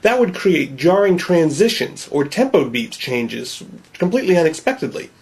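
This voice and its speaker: A man's voice: on the high side, very animated, moderate pace, husky. Nasal male narrator, wry and self-deprecating, for a home-made tutorial voice-over. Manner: wry, joking. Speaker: video creator.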